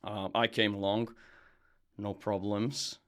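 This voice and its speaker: A young man from Serbia, a podcast guest and brand founder, chatting casually in a relaxed, rambling way with lots of fillers and restarts. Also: fairly low pitch, takes it slowly. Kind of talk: casual interview chat.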